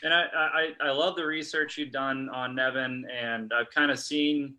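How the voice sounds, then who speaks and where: A man hosting a casual live-stream history chat, speaking in a halting, informal way. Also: mid-range voice, steady tone, bright, forceful tone. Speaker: host, history blog contributor.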